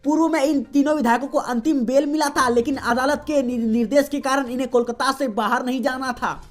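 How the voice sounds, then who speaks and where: A woman reading the day's regional news as a host, in a steady, formal newsreader delivery. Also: sits high, brisk. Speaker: news reader. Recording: faint music behind voice.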